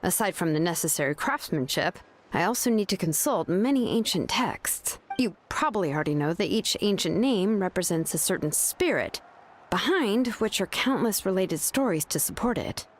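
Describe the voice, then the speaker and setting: An actress voicing a relaxed, frank young craftswoman and name engraver in a game, talking in an easygoing way. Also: fairly low pitch, normal speed, a little hiss or hum, lightly acted. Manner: relaxed, casual storytelling. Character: young woman craftswoman, laid-back and frank. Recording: game audio with clear background music.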